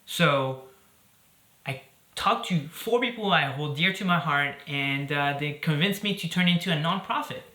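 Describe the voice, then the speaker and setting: Chatty upbeat guy from belgium talking to the camera, bright voice, echoey room. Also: a little high, a little slow. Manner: upbeat and chatty, with fillers and jokes. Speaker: host. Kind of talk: casual solo video talk to camera.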